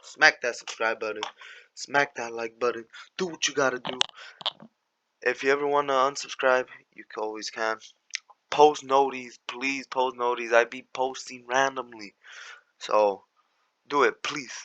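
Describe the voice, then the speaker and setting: A young man with a raspy voice, walking through calculus problems in a slangy, laid-back way and sometimes mumbling steps under his breath. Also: mid-range voice, fairly even intonation, measured pace, bright, forceful tone, no echo, muffled recording. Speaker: math tutor. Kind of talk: casual tutoring walkthrough.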